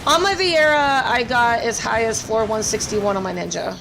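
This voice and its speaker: A woman's voice: fairly high pitch, normal speed, gravelly, music in the background. A woman live-streaming a game and chatting casually with viewers, her voice strained and scratchy, apologetic and full of fillers.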